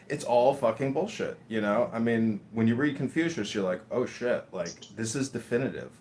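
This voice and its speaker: A man's voice: medium pitch, animated, moderate pace, fairly bright tone, slight background noise. A man talking casually in long rambling stretches, swearing and laughing as he makes his points. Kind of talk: casual conversation.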